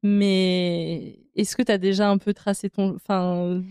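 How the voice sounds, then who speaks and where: Smooth-voiced woman podcast interviewer. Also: medium pitch, little pitch movement, slow, darkish tone, no echo, clean studio sound. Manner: encouraging, frequent backchannel murmurs, casual questions.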